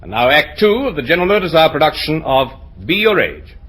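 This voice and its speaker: A man announces in formal old-time radio style. His husky, slightly high voice moves widely in pitch, earnest and measured throughout. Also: big pitch swings, a bit bright.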